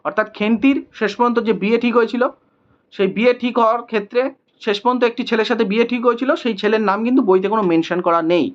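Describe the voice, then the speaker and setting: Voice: medium pitch, quick pace. Exam tutor, didactic, repetitive for emphasis, mid-range voice, slightly dull sound. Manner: didactic, repeats questions and answers for emphasis. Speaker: teacher. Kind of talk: exam question-and-answer lesson.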